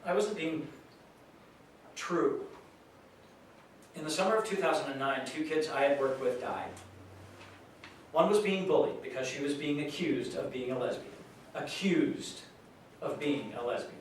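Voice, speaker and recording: A man acting an earnest, troubled youth worker bares himself in an emphatic, confessional stage monologue. His pitch is a little high, and he sounds far from the mic in a very echoey room with faint noise. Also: lively intonation, unhurried, long pauses. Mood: troubled, reflective. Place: live staged reading in a large room.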